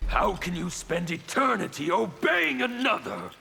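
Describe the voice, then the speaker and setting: An actor playing a fanatical, defiant anarchist villain in a fighting game, with a strained, theatrical delivery. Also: male voice, high-pitched, very animated, a little slow, sharp tone, heavily acted. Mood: contemptuous. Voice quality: gravelly, strained. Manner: theatrical, declamatory. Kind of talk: fighting game character dialogue. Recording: long reverb, faint background noise.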